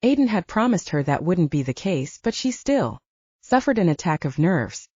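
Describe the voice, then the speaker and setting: A woman's voice: fairly low pitch, very animated, moderate pace, a little mellow, husky, no echo. A woman narrating fiction from a script as an audiobook reader. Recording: dull, lo-fi, dry studio-like sound.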